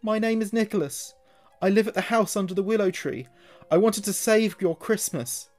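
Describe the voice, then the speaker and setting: A man voicing a desperate, falsely accused male hermit shouting and pleading for his life in a horror story. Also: high voice, normal speed, faint music behind, pitched above the performer's own voice, close to an everyday voice. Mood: urgent pleading.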